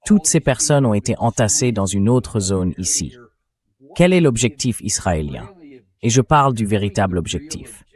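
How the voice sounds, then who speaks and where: A man's voice: fairly low pitch, very animated, moderate pace, a little mellow. A man's synthetic dubbing voice, acting as host and putting questions in a political interview. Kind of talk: political interview, dubbed translation read by synthetic voice.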